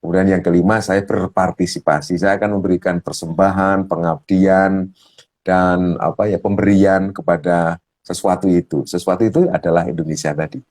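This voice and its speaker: Deep-voiced coach guy, slow and thoughtful, lots of ums. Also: steady tone, darkish tone. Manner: slow, thoughtful, stepwise explanation with fillers. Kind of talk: explaining ideas in a talk show.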